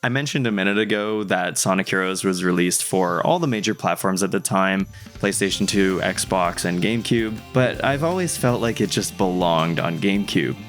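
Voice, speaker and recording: A young man with a fairly low voice narrates a video essay. He is conversational and casually enthusiastic, dropping in casual asides. Guitar music plays softly behind him. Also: normal speed.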